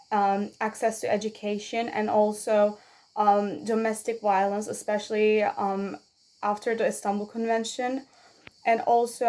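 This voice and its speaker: A young woman, a youth activist, earnestly giving a statement with frequent pauses. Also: mid-range voice, a little mellow. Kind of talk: prepared personal statement.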